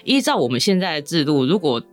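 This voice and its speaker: A woman's voice: low-pitched, sing-song, quick pace, soft background music. A woman novelist with a Taiwanese accent chatting casually as a podcast guest, explaining things in a wry, joking way. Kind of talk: casual chat.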